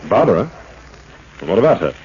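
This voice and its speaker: An actor playing a composed, shrewd man-about-town sleuth in a 1940s radio drama, with a measured, dry, educated delivery. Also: low voice, very animated, a little mellow. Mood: cool, wary. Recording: muffled, hissy 1940s radio recording.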